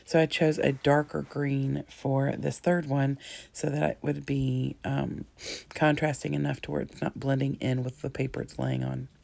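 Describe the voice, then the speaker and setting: A hoarse woman in her thirties chatting through a scrapbooking tutorial, rambling with frequent ums and throat-clearing. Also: extremely low pitch, flat delivery, normal speed, darkish tone. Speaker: scrapbooking crafter. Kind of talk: casual craft tutorial narration.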